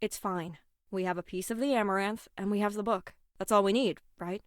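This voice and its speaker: A woman's voice: medium pitch, fairly even intonation, a little fast, no echo, clean studio sound, lightly acted. A woman playing a stern, determined, no-nonsense young woman in a game, firm and curt in her delivery. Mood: resolute.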